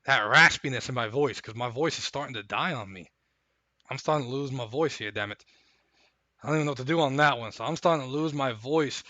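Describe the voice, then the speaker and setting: A man hosting a live stream, chatting casually and recapping fights in a rambling, hyped way while his voice goes hoarse. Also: medium pitch, fairly quick, sharp tone, dry recording. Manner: rambling, hyped, repeats himself. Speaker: stream host.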